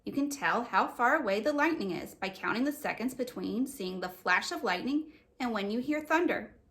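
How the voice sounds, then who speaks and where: A woman voicing an anxious, quiet young schoolgirl in a children's book read-aloud, subdued and earnest. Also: fairly high pitch, lively intonation, normal speed. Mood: confident, explaining. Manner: subdued, mumbling, explaining earnestly. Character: young schoolgirl Julie, anxious, quiet, bookish about weather.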